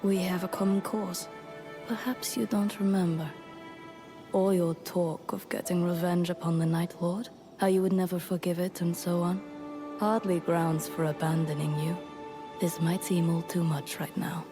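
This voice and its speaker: Woman acting a calm priestess, lowish pitch, gentle measured phrasing, reassuring, fantasy game dialogue, music behind. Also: close to an everyday voice. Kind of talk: video game character dialogue.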